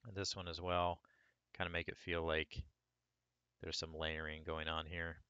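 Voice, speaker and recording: Low, gravelly man getting over a cold. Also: moderate pace, no room sound, highs cut off. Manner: relaxed, talks through each step as he works. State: hoarse from a cold, voice cracking. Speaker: 3D sculpting instructor. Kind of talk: screen-recorded software tutorial.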